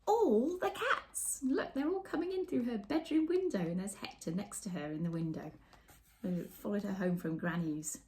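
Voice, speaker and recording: A middle-aged woman reading a picture book aloud to children, animated and expressive, with chatty asides and hushed, dramatic phrasing. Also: mid-range voice. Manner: animated, dramatic pauses, drops into whispers. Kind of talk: expressive storytime read-aloud with asides to young viewers. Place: small room with bookshelves, indoors.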